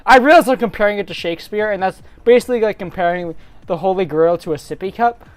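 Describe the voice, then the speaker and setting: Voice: medium pitch, quick pace. A narrator giving a dramatic reading of a fan story in a hammy storybook style, with casual self-aware asides.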